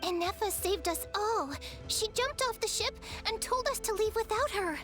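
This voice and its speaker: A woman voicing an excitable, emotional young girl in a video game. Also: extremely high pitch, normal speed, over music. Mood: eager.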